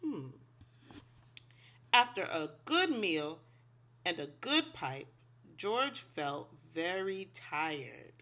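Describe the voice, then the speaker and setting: A woman's voice: lowish voice, sing-song, extremely slow, pauses often, fairly bright tone. A woman reading a children's picture book aloud expressively, in an instructive way, asking young listeners simple questions. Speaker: read-aloud storyteller, teacher.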